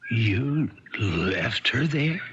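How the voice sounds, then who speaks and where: An actor playing a firm, compassionate sheriff with a husky voice in a dull-sounding 1970s radio drama. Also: male voice, medium pitch, big pitch swings, very slow, background noise. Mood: firm, urgent. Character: steady small-town sheriff, firm, lawful, compassionate.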